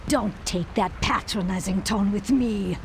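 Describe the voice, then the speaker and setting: An actress playing a ruthless, scornful Nazi villainess in a game cutscene, commanding and contemptuous. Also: female voice, mid-range voice, unhurried, gravelly, slight background noise. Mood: impatient. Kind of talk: dramatic game cutscene acting.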